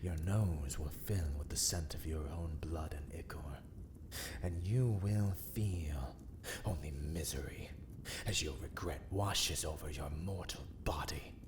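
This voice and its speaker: A man playing a haughty, menacing vampire lord in a dark ASMR roleplay, speaking in formal, archaic, deliberate phrases. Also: a bass voice, background noise. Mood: ominous, threatening.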